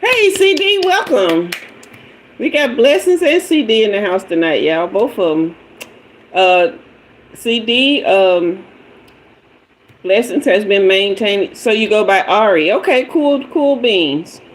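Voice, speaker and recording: A woman's voice: medium pitch, very animated, slow pace, a little hiss or hum, rough recording. A woman in her fifties, a tarot reader hosting a casual livestream, chatting playfully with viewers and drifting away from and back to the mic. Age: 50s. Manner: chatty and playful, greeting viewers and asking for emojis.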